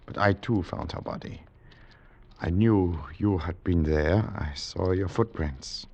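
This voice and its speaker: An actor playing a grim, grieving husband with a formal, measured manner and a gravelly voice in 1940s radio drama. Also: male voice, sits low, darkish tone, highs cut off, exaggerated voice.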